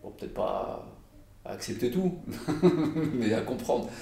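A man's voice: mid-range voice, expressive, slow pace, creaky, warm tone. A man giving a casual, hesitant interview about his family, with frequent fillers. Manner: hesitant, many fillers and restarts. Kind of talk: casual spoken testimony. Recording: echoey room, faint noise.

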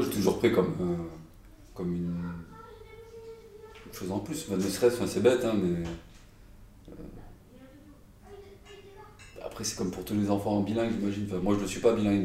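A man's voice: fairly low pitch, warm tone. A man giving a casual, hesitant interview about his family, with frequent fillers. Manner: hesitant, many fillers and restarts. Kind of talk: casual spoken testimony. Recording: echoey room, faint noise.

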